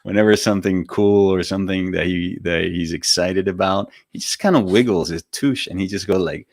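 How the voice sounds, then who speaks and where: A deep-voiced man with a Venezuelan accent talks viewers of a live stream toward a guided meditation. He sounds informal and gently encouraging, with friendly asides to his audience. The sound is dry and close, with no room echo. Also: normal speed. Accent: Venezuelan Spanish. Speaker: meditation teacher hosting a live broadcast. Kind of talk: casual spiritual talk before a guided meditation.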